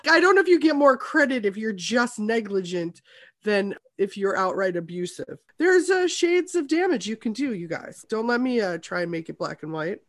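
A woman's voice: medium pitch, sing-song, normal speed. A woman co-hosting a podcast, giving casual, opinionated film analysis with dry humour. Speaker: podcast co-host.

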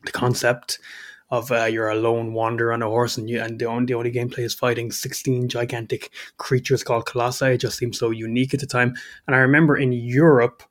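A man from Ireland co-hosting a casual podcast, a bit sniffly with a cold, self-mocking and quick to laugh. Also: medium pitch, little pitch movement. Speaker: podcast co-host.

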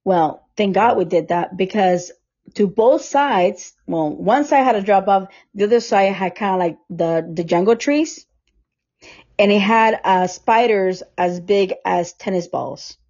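A woman from Costa Rica hosts a relaxed podcast chat, spinning stories and laughing often. Her voice sits at a middle pitch. The remote app recording sounds somewhat dull and lo-fi. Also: measured pace. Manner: chatty storyteller who laughs often and acts out what others said. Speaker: podcast host.

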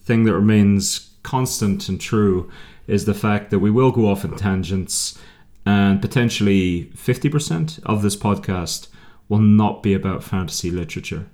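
Male podcast host, lower register, casual chat, wry teasing delivery, quick to interject. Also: a little mellow.

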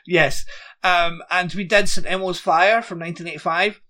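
A man's voice: sits high, steady tone, normal speed, bright, forceful tone. Dry rambling man in his forties. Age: 40s. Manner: rambling, dry, laughs often. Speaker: podcast co-host. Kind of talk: casual chat.

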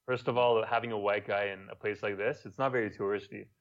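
A man's voice: lowish voice, steady tone, quick pace, lo-fi sound. Laid-back Montreal man, French accent. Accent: Quebec French. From Montreal, Canada. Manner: laid-back storytelling, swears casually. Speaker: guest, YouTuber. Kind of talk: casual podcast chat.

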